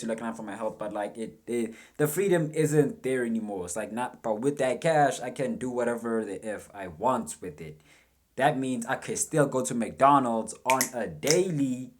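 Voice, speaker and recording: A man at an ordinary middle pitch reacts casually to a video. His talk is loose, slangy and profane, and he thinks aloud as he goes. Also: a little mellow.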